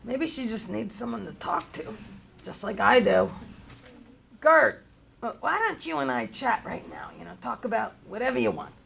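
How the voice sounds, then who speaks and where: Lady acting as stressed 57 yr old bar owner guy, super creaky, voice goes up and down, whiny about himself, bit of noise. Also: fairly high pitch, animated, moderate pace, a bit bright, full character voice. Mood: wistful. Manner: exasperated, self-pitying, stammering. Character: anxious, lovelorn 57-year-old male bar owner Steve. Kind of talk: live comedy table read. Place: theater stage, live show. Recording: live stage recording, faint background noise.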